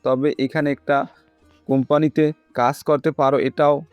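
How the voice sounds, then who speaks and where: A man playing a sober middle-aged father in an audio love story, measured and formal. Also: on the high side, flat delivery, smooth, no echo, highs cut off, faint music behind, close to an everyday voice. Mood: serious, measured.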